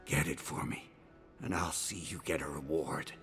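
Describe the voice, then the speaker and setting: Casting: sick old hero storyteller for fantasy game, deep and bright-edged, weary braggart. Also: male voice, steady tone, moderate pace. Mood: confiding, pleading. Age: older. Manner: rambling, boastful storytelling. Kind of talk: fantasy video game acting. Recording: music behind the voice.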